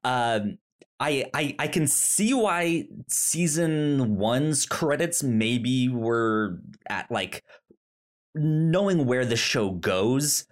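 A woman's voice: very deep voice, animated, extremely slow. A woman co-hosting a podcast in casual chat, often stammering and repeating words as she talks animatedly. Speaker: podcast co-host.

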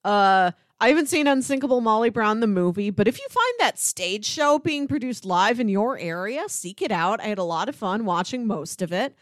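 A woman speaks as a podcast co-host in casual, conversational film talk. Her voice sits at an average pitch and is recorded dry, with no room sound. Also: sing-song, normal speed, hardly any pauses, no echo. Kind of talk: casual movie review chat.

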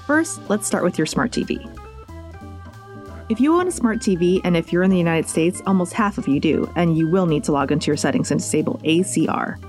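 A woman's voice: fairly low pitch, animated, brisk, darkish tone. A woman reading scripted podcast tips in a wry, jokey manner. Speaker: podcast host. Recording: faint music bed behind.

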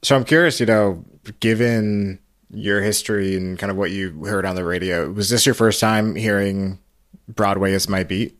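A man's voice: lowish voice, fairly even intonation, normal speed, a bit bright. A man hosting a podcast interview in a casual, conversational way, hedging his points with frequent little asides.

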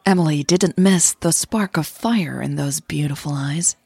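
Husky, low-voiced woman narrating slowly. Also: dark tone, no room sound. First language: Dutch. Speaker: podcast host and narrator. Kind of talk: scripted audio story narration.

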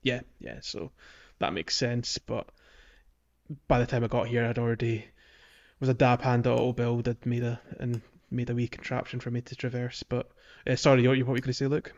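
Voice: mid-range voice, flat delivery, fairly quick, a little mellow. A podcast co-host chatting casually about a video game, on a slightly dull microphone.

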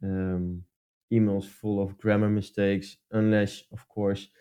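A man's voice: lowish voice, level tone, slow pace, dark tone, clean tone. A man from the Netherlands with a Dutch accent, co-hosting a casual advice chat and talking hesitantly with many fillers and restarts. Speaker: podcast co-host.

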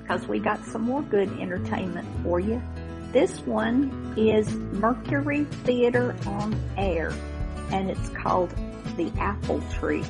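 A woman hosts a podcast and introduces old radio plays in a folksy, chatty way. Her mid-range voice has a gravelly edge, and guitar music plays clearly behind her. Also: medium pitch, expressive, takes it slowly. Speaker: podcast host. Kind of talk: casual chat.

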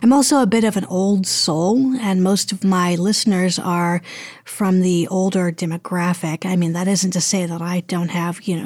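A woman's voice: fairly low pitch, darkish tone, no echo, clean studio sound. A woman being interviewed as a guest, speaking conversationally and thoughtfully, feeling her way through her phrases. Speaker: interview guest, audio drama producer and voice actor.

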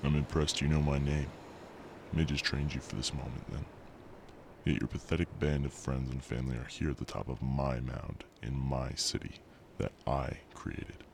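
A man's voice: very deep voice, fairly even intonation, normal speed, creaky. A man playing a cold, imperious evil scientist villain in an audio drama, speaking with menace. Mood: mocking. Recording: recording with faint background noise.

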